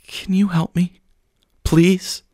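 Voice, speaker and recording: A man's voice: high voice, flat delivery, slow, warm tone, no room sound, clean studio sound, voice pushed up. A man voicing a distraught mother who stammers through her tears and breaks off mid-sentence. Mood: distraught pleading. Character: distraught middle-aged mother, sobbing and pleading.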